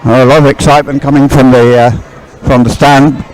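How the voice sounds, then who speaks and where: A man's voice: mid-range voice, flat delivery, a little fast, darkish tone, rough recording. A man giving live race commentary in a conversational, searching way. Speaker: sports commentator. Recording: music playing behind outdoor live broadcast. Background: music.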